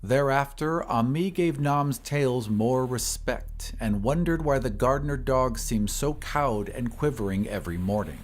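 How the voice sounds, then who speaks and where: A man reading a classic horror story as scripted narration, in a measured, literary storytelling manner. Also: medium pitch, animated. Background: ambient sound effects.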